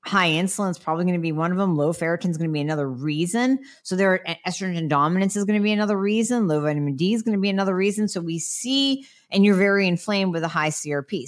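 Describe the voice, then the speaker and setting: A middle-aged woman who treats patients, answering viewer questions in a frank, chatty way and sounding nasal from a cold. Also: fairly low pitch. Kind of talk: casual Q&A talk.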